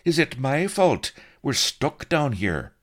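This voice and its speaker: A man voicing a cynical, wisecracking rogue who talks in a flippant, sarcastic way, his voice pushed high above his own. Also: very animated, slow pace. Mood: exasperated.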